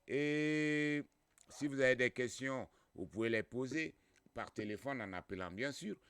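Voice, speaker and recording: A man's voice: medium pitch, expressive, measured pace, a bit bright, clean tone, dry recording. A man hosting a radio talk show, speaking hesitantly with many fillers and often chiming in to agree.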